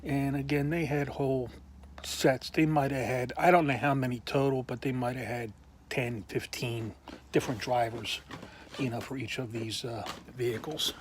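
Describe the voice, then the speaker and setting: A man slowly showing off his toy collection in a casual video, pausing often with ums and clearing his throat while losing his voice. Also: mid-range voice, fairly even intonation, slight background noise. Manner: slow, halting, many ums and uhs, frequent throat clearing. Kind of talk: casual show-and-tell.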